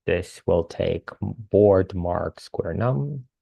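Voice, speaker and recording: A man lecturing on code as he writes it, casual and thinking aloud with many fillers, his voice slightly hoarse. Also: low voice, lively intonation, drawn-out words, dry recording, dull audio. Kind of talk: live coding lecture.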